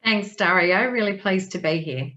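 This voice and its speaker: A middle-aged Australian woman answering interview questions in a conversational way, often restarting and filling pauses. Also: mid-range voice, pushed and bright, dull audio. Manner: conversational, frequent restarts and fillers. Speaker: guest.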